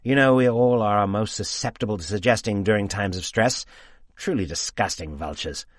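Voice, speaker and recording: A man's voice: lowish voice, moderate pace, no room sound, studio quality, lightly acted. A man voicing a brusque young man in his late twenties who speaks curtly and scornfully. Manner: curt and clipped, turning scornful and lecturing.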